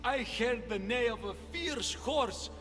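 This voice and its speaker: An actor playing a gentle, bitter blinded man in a video game, plaintive and emotional. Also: very high voice, expressive, measured pace, faint music behind, full character voice. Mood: pleading, distressed. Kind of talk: video game cutscene acting. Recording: long reverberant echo.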